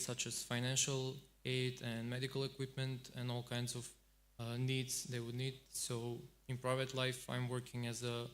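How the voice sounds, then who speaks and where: A man from North Macedonia introducing himself on a panel as a volunteer, plain and steady. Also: mid-range voice.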